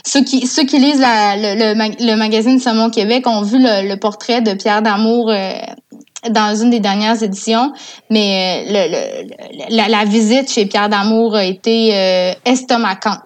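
A woman's voice: medium pitch, fairly even intonation, moderate pace. A woman with a Quebec French accent, an interview guest telling stories in a casual, enthusiastic way. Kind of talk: casual conversational storytelling.

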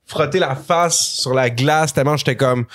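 A man's voice: mid-range voice, moderate pace, fairly bright tone. A man in his thirties, from France, with a Quebec French accent, telling stories in a rambling, slangy casual podcast chat. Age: 30s. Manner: rambling, slangy, full of fillers. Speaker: guest rapper.

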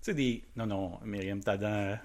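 A man's voice: lowish voice, steady tone, moderate pace, a little mellow. A man, chatting on a game panel, rambling and laughing through his picks. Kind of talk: casual chat.

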